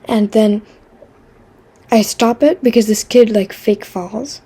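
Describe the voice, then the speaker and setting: Chatty teenage girl from Quebec, soft husky voice. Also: on the high side, slow. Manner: chatty, self-deprecating, many fillers and restarts; drops into a whisper for asides. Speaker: vlogger. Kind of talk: rambling casual chat.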